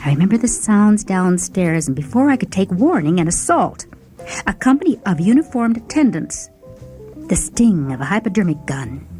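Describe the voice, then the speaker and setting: A woman's voice: mid-range voice, big pitch swings, normal speed, warm tone. Theatrical woman storyteller over music. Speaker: story narrator. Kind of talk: dramatic first-person short story narration.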